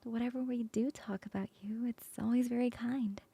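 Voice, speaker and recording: A woman's voice: a little high, steady tone, normal speed, creaky, breathy edge. A woman playing a teasing whispering coworker. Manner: soft whispering, playful teasing. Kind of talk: ASMR roleplay.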